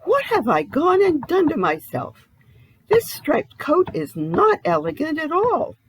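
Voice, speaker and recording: A woman's voice: very high-pitched, wildly swooping pitch, unhurried, slight background noise, pitched above the performer's own voice, heavily acted, poor audio. A woman voicing a friendly, eager young boy elephant for a children's storybook, exclaiming dramatically. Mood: dismayed. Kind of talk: children's storybook read-aloud.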